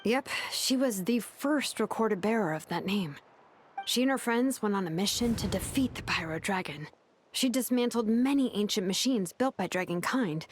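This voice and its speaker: A woman's voice: medium pitch, slight background noise, close to an everyday voice. A woman voicing a laid-back young inventor in a video game, conversational and explanatory.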